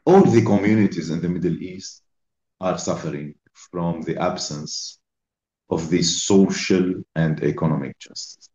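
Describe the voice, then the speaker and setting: Slow, deliberate man giving a formal talk. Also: sits low, dark tone, reverberant, highs cut off. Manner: slow, deliberate, emphatic delivery in phrases. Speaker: invited speaker.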